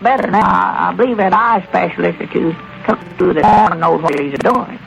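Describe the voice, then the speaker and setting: An actor playing a country storekeeper with a folksy drawl in a 1930s radio comedy. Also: male voice, high-pitched, a little fast, vocal fry, music in the background. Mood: easygoing. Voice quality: folksy rural drawl with plain country grammar. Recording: lo-fi old radio broadcast.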